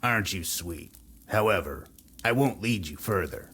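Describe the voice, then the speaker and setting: A man's voice: low-pitched, lively intonation, moderate pace, a bit bright, slight background noise. An actor playing a skeptical, sarcastic resistance man in a video game cutscene, sardonic and distrustful in manner.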